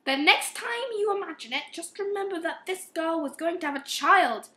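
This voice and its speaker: A high, bright-voiced, relentless police inspector played by a woman in an amateur radio play. The questions are measured and morally accusing, and the room echoes around them. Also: lively intonation, exaggerated voice. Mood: stern, probing. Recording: roomy, echoing amateur recording.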